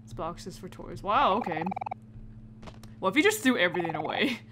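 A woman's voice: on the low side, animated, a little fast. A woman giving casual, reactive let's play commentary, reading game text aloud and adding informal asides. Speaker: gamer narrating a playthrough. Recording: heavy background noise, clicks.

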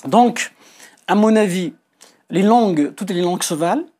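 A man's voice: high voice, expressive, a little slow, warm tone. A middle-aged man from Algeria, a Kabyle speaker, explaining his views as an author in a TV interview, hesitantly repeating words and stressing key points. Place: indoor television studio.

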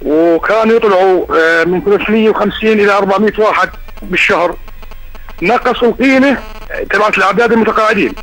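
A man's voice: sits high, quick pace, fairly bright tone. An older man with a Jordanian Arabic accent, a retired soldier, calling in to a live show and complaining in an aggrieved tone. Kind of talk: phone-in call. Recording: phone line with faint music.

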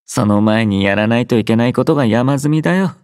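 An actor playing a laid-back young male army doctor in a game, speaking in a relaxed, drowsy, gently teasing way. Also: mid-range voice, a little fast, dry recording, clean studio sound, natural voice. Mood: easygoing teasing. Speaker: game character voice lines. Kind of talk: game voice collection. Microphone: close studio mic.

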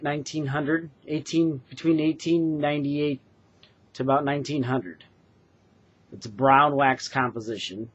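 Casting: man, 40s, husky mid-pitched voice, earnest craftsman explaining his wares haltingly to a webcam, muffled lo-fi sound. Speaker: craftsman presenting his handmade products. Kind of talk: informal product demonstration to camera. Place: small bedroom, indoors. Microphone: webcam or laptop mic at desk distance.

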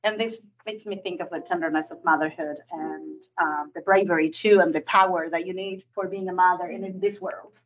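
A woman's voice: fairly low pitch, steady tone, a little fast, hardly any pauses. A woman from Argentina, introducing herself chattily at a meeting as a food security advisor. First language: Spanish. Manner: warm, chatty, laughing asides. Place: conference room. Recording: distant room mic, dull sound.

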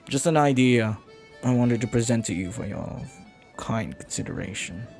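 A man playing a flirty, earnest pirate captain in an intimate audio roleplay, speaking softly and haltingly with tender devotion. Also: mid-range voice, animated, gravelly, over music. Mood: playful, teasing. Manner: soft, halting, tender, sometimes near whisper.

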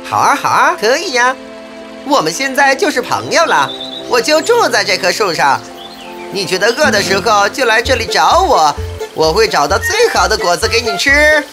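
A generous, trusting cartoon monkey, voiced by a woman, chatters in a highish, bouncy voice with swooping intonation. The manner is eager and cheerful, for a children's fairy tale, with music behind. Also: very animated, moderate pace. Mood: friendly.

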